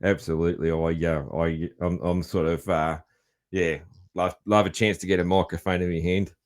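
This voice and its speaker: Man, host; very low voice, relaxed, chatty, loud, quick to laugh. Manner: laid-back, laughs easily, calls people "man". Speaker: podcast host. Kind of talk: casual interview chat.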